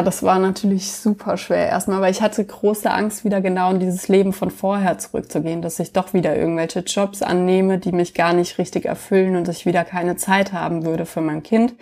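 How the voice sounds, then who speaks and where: A woman telling her own story as an interview guest, reflective and hesitant, with many pauses and fillers. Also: fairly low pitch, warm tone.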